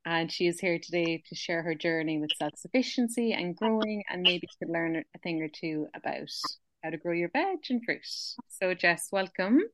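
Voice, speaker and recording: A woman's voice: lowish voice, expressive, normal speed, hardly any pauses, fairly bright tone, dry recording. A woman hosting a podcast, chatting in a friendly, supportive way with her guest. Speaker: podcast host. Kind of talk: casual interview chat.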